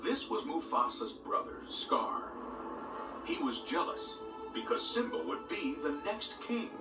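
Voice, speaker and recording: A man narrates a children's storybook with a lively, expressive lilt, his pitch sitting slightly high. He sounds a bit distant in a roomy space. Loud orchestral music plays under the reading. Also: unhurried, creaky. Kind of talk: read-along storybook narration.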